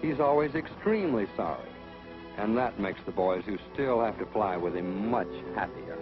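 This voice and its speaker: An American man narrating a 1940s instructional film in a measured, authoritative, dryly sardonic manner. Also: on the low side, animated. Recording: dull old film soundtrack, music behind.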